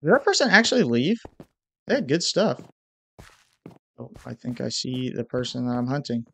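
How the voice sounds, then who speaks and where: A man's voice: medium pitch, sing-song, moderate pace, creaky, bright, forceful tone. A young man with a stuffy nose giving casual commentary on his gameplay over a headset mic in a small room at home. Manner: hyped intro, then muttered play-by-play. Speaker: gaming YouTuber.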